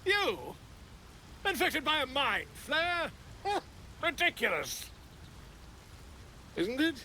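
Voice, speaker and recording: Flamboyant, wildly animated pompous bard. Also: male voice, very high voice, slow, slight background noise, exaggerated voice. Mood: incredulous, astonished. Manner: florid, verbose, theatrical, exclamatory. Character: flamboyant, pompous bard and self-styled scholar, grandly theatrical. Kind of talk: theatrical fantasy game acting.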